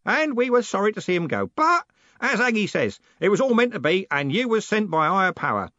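A man voicing a loud, blunt, hearty old male merchant who bellows every line. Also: sing-song, a little fast, dry recording, dull audio, full character voice. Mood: booming hearty. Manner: bellowing, booming.

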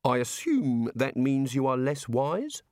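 A man's voice: medium pitch, big pitch swings, slow pace, darkish tone, no echo, clean studio sound. A man voicing a curious, apologetic male island god with a short temper, polite and flustered. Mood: inquisitive.

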